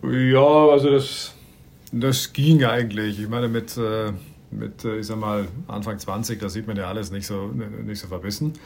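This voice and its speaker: A middle-aged man from Austria, a ship captain, chatting in an interview while searching for words with many pauses and restarts. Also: fairly low pitch, steady tone, darkish tone, a little hiss or hum. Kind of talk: casual interview answers.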